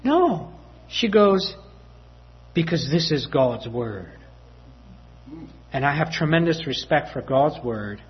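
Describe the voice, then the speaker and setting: A man's voice: on the high side, sing-song, takes it slowly, long pauses, highs cut off. A man preaching a sermon as a story, acting out family dialogue with voices and laughter.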